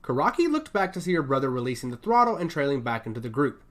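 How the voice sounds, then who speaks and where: A man reading a scripted dramatic story as narrator, in an expressive, descriptive way. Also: mid-range voice, fast talker. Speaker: audio fiction narrator.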